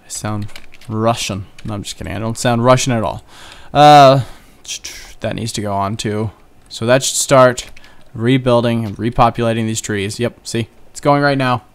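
A young man talks over his own game session in a loose, chatty way, wandering between asides and little jokes at his own expense. His voice is smooth and resonant at a middle pitch. Keyboard clicks come through from his desk. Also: normal speed. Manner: chatty, rambling, self-joking. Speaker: gaming channel host. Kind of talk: casual Let's Play commentary.

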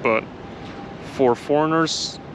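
A man's voice: a little high, slow, background noise. A man in his thirties from Japan talking casually to a handheld camera outdoors, with many fillers and restarts. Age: 30s. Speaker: vlogger. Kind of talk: casual vlog talk to camera. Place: outdoors on a street by trees. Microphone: camera held close to face.

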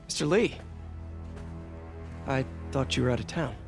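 A man's voice: mid-range voice, lively intonation, a little slow, over music. An actor playing an earnest, slightly awkward young man, hesitant and sincere, in a naturalistic video game drama. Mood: uneasy. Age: young adult. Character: earnest, awkward young lab assistant and nephew.

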